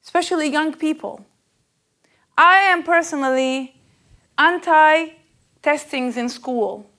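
Earnest woman preacher, rather high voice. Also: takes it slowly. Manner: intense and earnest, rising and louder on key questions; hesitant fillers, searching for words. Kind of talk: spoken sermon.

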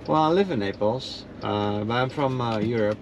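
A man at an ordinary pitch chats easily from the driver's seat. His talk is loose and friendly, full of questions. Loud road noise from the car runs under every word. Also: moderate pace. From Netherlands. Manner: chatty, easygoing, asks questions. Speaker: rideshare driver and podcast host. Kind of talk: casual chat while driving.

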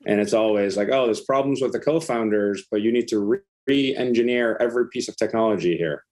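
A man's voice: lowish voice, brisk, a little mellow. A middle-aged man from Iceland talking casually as a startup founder and interview guest.

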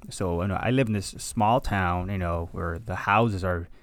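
Low-voiced man from Cuba, gracious podcast guest. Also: normal speed, dry recording. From Cuba, moved to the US at six. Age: 30s. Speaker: podcast guest, graduating medical student. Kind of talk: conversational interview answers.

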